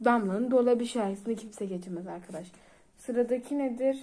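A woman's voice: on the high side, normal speed, dark tone. A young woman chatting casually and expressively as she reacts to songs, recorded on a phone indoors. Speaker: vlogger reacting to cartoon songs. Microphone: phone on camera.

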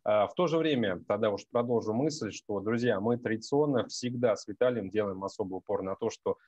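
A man's voice: fairly low pitch, fairly quick, a little mellow, no room sound, dull audio. A man in his thirties gives market analysis over a video call on a headset, with many hesitations and direct address to his viewers.

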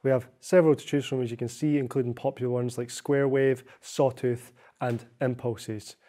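Mellow young man giving a tutorial. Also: medium pitch, steady tone, moderate pace. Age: young adult. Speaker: software demonstrator. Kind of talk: tutorial narration.

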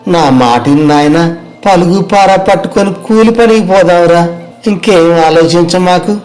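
A man's voice: high-pitched, a little slow, a little mellow, rough recording. A man playing a worried village labourer parent pleading with a son, in an acted moral story.

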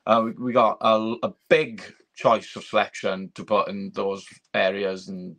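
A man's voice: fairly low pitch, level tone, measured pace, fairly bright tone. A young man, chatting casually as a guest on a video call from a small room, with many fillers. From Wales. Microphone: video call webcam.

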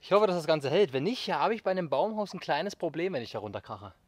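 Man, 30s, outdoor survival vlogger, casual and upbeat, somewhat high pitch, forest camera audio. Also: lively intonation, fast. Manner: chatty, addresses viewers directly, upbeat. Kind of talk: casual outdoor vlog talking to viewers. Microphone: action camera mic at a distance.

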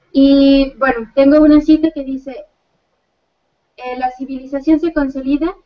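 A young woman giving a seminar presentation in a living room, thoughtful and hesitant with many fillers. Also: sits high. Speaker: student presenter. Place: living room with others on a sofa. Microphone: far laptop webcam. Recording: distant, muffled video call audio.

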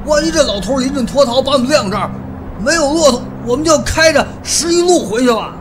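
A man's voice: very high-pitched, lively intonation, fast talker, background noise. A man playing a brash, money-minded fat tomb raider in an audio drama, blunt and mocking in his delivery. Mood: annoyed. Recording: loud music under the dialogue.

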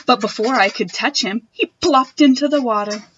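A young woman reading a picture book aloud to children in a gentle, expressive manner. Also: fairly high pitch, normal speed, muffled recording, slight background noise. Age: young adult. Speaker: storytime reader. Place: wood-panelled room at home.

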